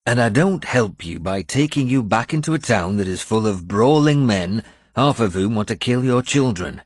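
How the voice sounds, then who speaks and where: A man's voice: on the low side, lively intonation, moderate pace, no echo, studio quality, voice pushed up. A man voicing a harsh, brusque warrior lord who is protective but impatient, in an audiobook. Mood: strained patience. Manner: forceful, brusque.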